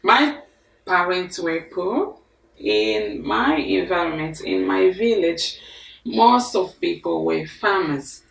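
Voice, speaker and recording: Woman from Congo, slow deliberate storyteller, gravelly edge, echoey studio picked up from a distance. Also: lowish voice. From Congo DRC. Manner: slow, deliberate, expressive with hand gestures. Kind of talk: personal life story to camera. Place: studio before a green screen. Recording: echoey room, mic at a distance.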